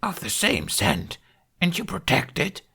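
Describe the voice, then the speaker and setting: Man voicing a haughty, aggressive female dragon, pitched up. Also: huge pitch swings, unhurried, creaky, pushed and bright, husky, exaggerated voice. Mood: outraged. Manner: screechy, dramatic, haughty delivery. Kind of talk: audiobook character voice.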